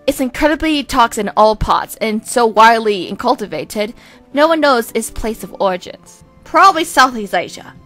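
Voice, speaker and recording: A woman's voice: fairly high pitch, expressive, normal speed, fairly bright tone, soft background music. A woman voicing a proud, prim male twin in an amateur comic dub, haughty and theatrical but grudgingly kind. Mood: stiff, haughty.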